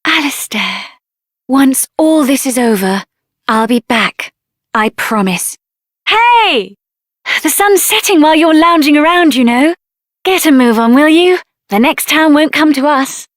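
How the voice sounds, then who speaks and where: An actress voicing a spirited, headstrong young woman adventurer in a video game, expressive and earnest, with a tender side. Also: sits high, measured pace, no room sound, clean studio sound. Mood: solemn, tender, then impatient, teasing.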